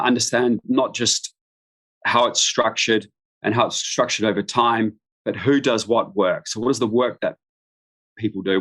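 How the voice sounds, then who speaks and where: A middle-aged man with a fairly low voice talks through an online business session from a room at home. He comes from New Zealand and presents informally, in stop-start phrases. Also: fairly bright tone, rough recording. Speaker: guest expert, business founder. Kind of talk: live webinar introduction, informal presentation.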